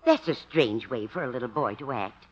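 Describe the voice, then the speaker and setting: An actress playing a gentle, knowing elderly mother of a grown son in a 1950s radio sitcom. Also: rumbling low, expressive, moderate pace, breathy edge, heavily acted. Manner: gentle, quietly persuasive. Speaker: supporting actress in a radio comedy. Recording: muffled old radio recording.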